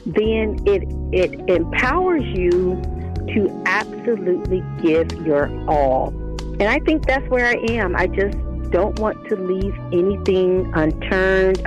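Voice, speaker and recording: A woman's voice: medium pitch, lo-fi sound. A woman giving reflective interview answers as a guest author, speaking in an earnest, emphatic and devout way.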